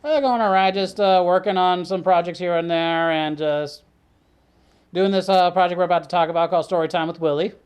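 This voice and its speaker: A man's voice: sits high, fairly even intonation, fairly quick, clean tone. A man chatting casually as a talk show guest, telling stories in a laid-back, sardonic way with plenty of swearing. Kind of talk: casual chat, storytelling.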